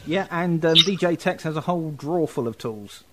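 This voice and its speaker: A man's voice: on the high side, moderate pace, bright, forceful tone. A British man working as an internet radio DJ, joking bawdily and at his own expense in casual group banter. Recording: very noisy internet radio chat.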